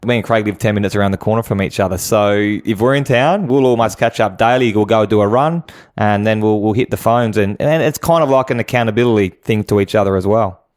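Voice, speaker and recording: A man with an Australian accent talks in a fairly low voice, recorded very dry. He gives practical advice in a conversational style, as a coach would in an interview. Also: brisk, no room sound. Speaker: guest, home-business coach.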